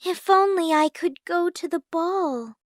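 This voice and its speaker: A gentle, put-upon young fairy-tale heroine, acted by a woman narrator. Her high voice is timid, polite and wistful, with lively rises and falls in pitch. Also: slow, no room sound, clean studio sound, full character voice.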